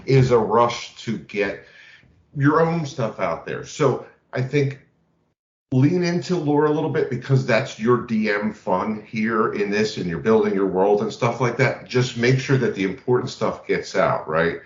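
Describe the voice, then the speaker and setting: Male podcast co-host, fairly low voice, casual advice-giving chat, clearly roomy sound. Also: lively intonation, measured pace. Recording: roomy, echoing, muffled lo-fi audio.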